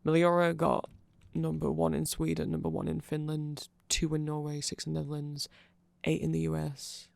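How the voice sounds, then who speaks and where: A man's voice: a little high, completely flat delivery, normal speed, creaky, hardly any pauses, a little mellow, no room sound. A man giving a rambling, nerdy, informal ASMR explainer, often hesitating and interrupting himself. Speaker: ASMR creator explaining band lore.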